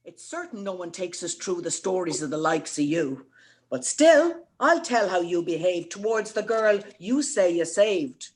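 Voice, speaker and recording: A woman playing an indignant, sharp-tongued young woman in a theatrical, scornful dramatic reading of comic verse. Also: lowish voice, lively intonation, unhurried. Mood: scornful, threatening. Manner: scornful, theatrical, emphatic delivery.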